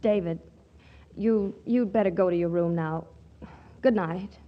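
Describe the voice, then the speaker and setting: An actress playing a feisty, romantic, quick-witted young woman in a 1940s radio comedy, with theatrical hesitations. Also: on the low side, muffled recording, close to an everyday voice. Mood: tart, sparring. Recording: vintage 1940s radio recording, faint music.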